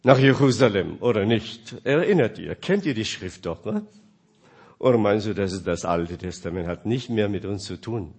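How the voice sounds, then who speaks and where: A man preaching a sermon in German, which is not his first language, asking his listeners questions and swinging between loud and quiet. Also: fairly low pitch, animated, moderate pace, husky.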